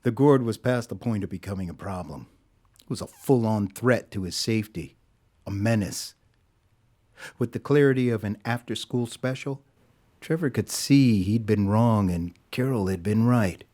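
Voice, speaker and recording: Low-voiced male story narrator. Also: moderate pace, darkish tone. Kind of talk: scripted dramatic story narration. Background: music.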